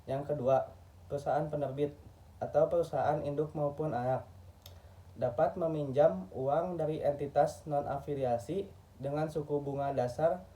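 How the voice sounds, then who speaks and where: A man's voice: a little high, fairly even intonation, normal speed, dark tone. A man from Indonesia reads a scripted lecture in a formal textbook manner, stumbling over a word now and then. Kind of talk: scripted lecture narration, read aloud. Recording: muffled, distant microphone.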